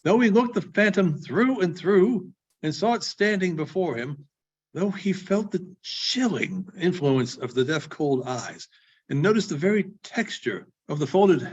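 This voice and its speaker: Male storyteller, theatrical scripted narration, deliberate, husky, widely varied pitch, slightly dull recording. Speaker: librarian reading aloud. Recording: dull, muffled sound.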